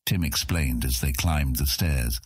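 A man's voice: very deep voice, a little slow, husky, dry recording. A man reading a fantasy audiobook as its scripted narrator.

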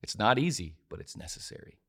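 A man's voice: lowish voice, sing-song, fast talker, creaky, a bit bright. A man of forty in a casual interview, talking emphatically and repeating phrases, with frequent restarts. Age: 40s. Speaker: podcast guest.

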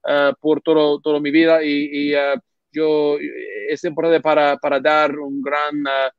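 A man, a native English speaker, talking as a podcast guest in a second language, slow to find his words with many restarts and fillers. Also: on the high side, level tone, smooth, no room sound. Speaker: podcast guest, baseball reporter. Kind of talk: casual interview talk in a second language. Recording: dull, dry remote audio.

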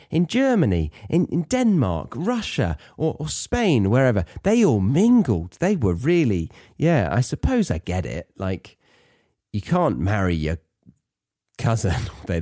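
British male podcast host, relaxed and low, very expressive, casual rambling explainer with a playful streak. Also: no echo, studio quality. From Britain. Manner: rambling, playful asides, self-deprecating. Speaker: podcast host teaching English learners.

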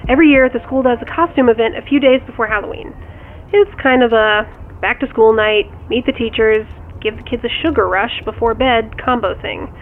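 A woman's voice: on the high side, fairly quick. An actress playing a harried mother wrangling kids while on the phone in a naturalistic audio drama. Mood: harried, friendly. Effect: phone call filter. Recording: tinny phone line, faint noise.

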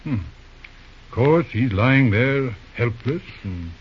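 An actor playing a patient, shrewd older bachelor lawyer uncle in an old-time radio drama, reasoning in an avuncular, hemming and hawing way. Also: male voice, mid-range voice, lively intonation, slow, a little hiss or hum. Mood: wry, prodding. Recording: muffled old radio show recording.

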